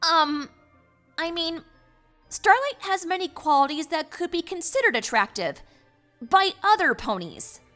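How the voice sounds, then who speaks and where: A woman's voice: extremely high pitch, very animated, a little slow, pushed and bright, faint music behind, heavily acted. A woman playing an earnest, easily flustered young pony princess, defensive and emphatic, in a cartoon fan audio drama.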